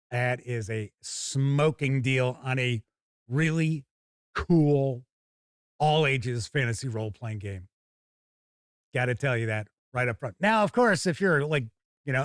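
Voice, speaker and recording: A man's voice: on the high side, no room sound, studio quality. A man hosting a tabletop gaming news show, enthusiastic, folksy and conversational, moving between scripted items and off-the-cuff emphatic commentary.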